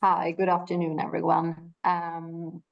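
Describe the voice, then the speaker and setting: Low, creaky young woman. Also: completely flat delivery, measured pace, dull audio. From northern Sweden. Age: young adult. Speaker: panelist, PhD researcher. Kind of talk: self-introduction at an online panel. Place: small indoor room, video call.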